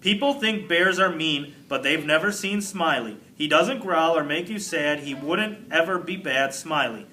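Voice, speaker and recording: A man's voice: a little high, bright, forceful tone, poor audio. A man reading a storybook as a shy young schoolgirl who recites her poem in a rush. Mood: nervous, earnest. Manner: rushed recital, sentences run together.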